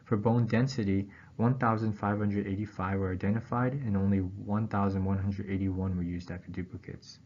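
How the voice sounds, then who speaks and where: A man reads a scripted academic presentation aloud in a formal, halting way, pausing between phrases. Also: fairly low pitch, a little mellow. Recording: dull, muffled audio with background noise.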